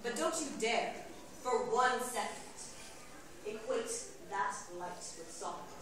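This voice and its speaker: A woman playing a proud, cold, dryly witty young seer in a theatrical stage monologue, speaking in deliberate, formal phrases. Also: fairly high pitch, lively intonation, crowd noise. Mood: menacing, grand. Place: live on stage, filmed from front row. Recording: echoey hall from far off, faint noise.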